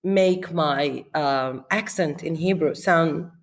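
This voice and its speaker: Interview guest: woman, lower pitch, slow and thoughtful, laughs easily. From Israel. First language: Arabic. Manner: reflective, slow, laughs often, many restarts and fillers. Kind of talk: relaxed conversational interview.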